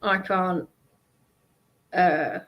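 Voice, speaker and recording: A woman chatting casually in a hesitant, halting manner. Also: lowish voice, steady tone, fairly bright tone. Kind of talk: casual chat.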